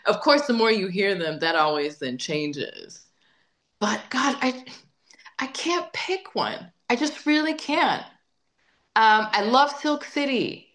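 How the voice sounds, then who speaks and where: A woman's voice: a little high, lively intonation, slow pace, a bit bright. A woman singer-songwriter being interviewed, talking in a chatty, emphatic way and often repeating words while she thinks. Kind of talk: casual interview conversation.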